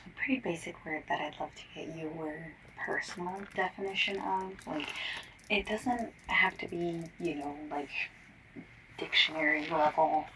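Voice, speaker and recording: A woman playing a shy, nervous phone survey caller in an ASMR roleplay, speaking softly and often near a whisper, hesitant and apologetic. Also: low voice, far from the mic, slight background noise, exaggerated voice, poor audio. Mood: gentle, hesitant. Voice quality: soft, breathy, often slipping into whisper. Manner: hesitant, apologetic, rambling, gentle.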